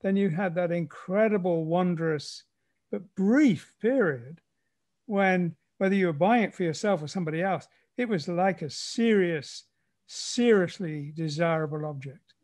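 An older man in casual conversation, speaking in measured, short, emphatic phrases. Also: high-pitched, a little mellow. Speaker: conversation partner.

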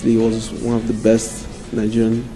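A man's voice: on the low side, monotone, a little slow, music in the background. A young man answering interview questions indoors in an easygoing, modest way. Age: young adult. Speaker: interviewee, footballer's son. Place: indoor room.

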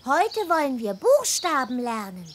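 A woman's voice: sits high, big pitch swings, measured pace, over loud music. A woman voicing a sweet, eager young female snail pupil in a children's cartoon. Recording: birdsong noise throughout.

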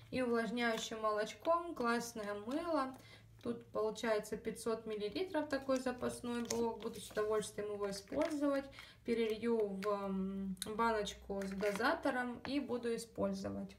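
A fairly high-voiced woman talks her way through a beauty haul in a relaxed, rambling manner, dropping fillers and calling out to her viewers. Her throat sounds slightly scratchy, and she is recorded at home on a phone held at some distance. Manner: chatty, many fillers, addresses viewers as girls. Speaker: beauty vlogger. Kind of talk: casual haul video chat.

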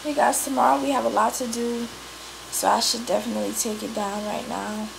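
A woman's voice: a little high, creaky, background noise. Chatty young woman vlogging on her phone. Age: young adult. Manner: relaxed, chatty, drawn-out fillers. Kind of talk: casual vlog talk to camera. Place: small bedroom. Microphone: phone held close.

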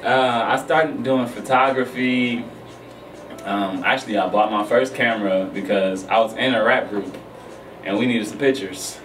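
A man's voice: lowish voice, a little slow, fairly bright tone. A young man from Detroit talking casually in an interview, easygoing and conversational. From Detroit, United States. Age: young adult. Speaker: guest, photographer and musician. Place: small radio studio. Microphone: boom-arm studio microphone. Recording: roomy, distant mic, faint noise.

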